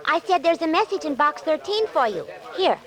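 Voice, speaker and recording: High-pitched chirpy nosy office girl. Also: big pitch swings, moderate pace, fairly bright tone. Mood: perky. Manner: perky, naive, inquisitive. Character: chirpy, nosy young female newspaper ad clerk. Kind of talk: 1940s radio drama acting. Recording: vintage 1940s radio recording.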